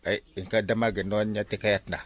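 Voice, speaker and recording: A man's voice: lowish voice, level tone, a little fast, crowd noise, natural voice. A man with an Ilocano accent playing a coaxing village captain in a radio comedy drama. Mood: explaining.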